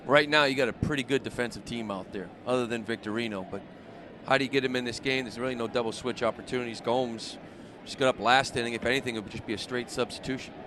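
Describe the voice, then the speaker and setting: A male baseball color analyst talks very quickly in a mid-pitched voice, explaining things in colloquial, emphatic terms. Outdoor stadium noise is clearly audible behind him. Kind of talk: conversational sports analysis. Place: ballpark broadcast position. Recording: noisy outdoor stadium ambience. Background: steady outdoor stadium noise.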